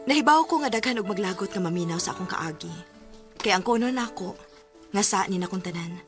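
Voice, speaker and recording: A woman's voice: mid-range voice, animated, brisk, loud music behind the voice, lightly acted. An actress with a Cebuano accent playing a troubled, guilt-ridden married woman in an emotional, melodramatic radio drama. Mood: regretful.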